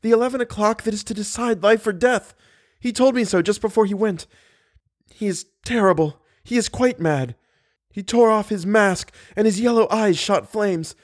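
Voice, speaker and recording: A man's voice: high-pitched, normal speed, pitched above the performer's own voice. A man voicing a terrified, distraught young captive woman in an audiobook, speaking with urgent, pleading delivery. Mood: frightened, urgent. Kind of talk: dramatic audiobook character voice.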